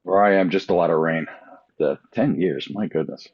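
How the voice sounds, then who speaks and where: Casting: older male guest, fairly low voice, relaxed conversation over slightly muffled audio. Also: moderate pace. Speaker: podcast guest. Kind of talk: casual chat. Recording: dull lo-fi call audio.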